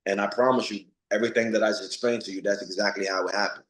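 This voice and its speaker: A man's voice: on the low side, steady tone, rapid-fire, fairly bright tone, roomy sound, rough recording. A man of forty, a retired boxer, giving blunt, streetwise interview answers and repeating himself for emphasis. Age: 40s.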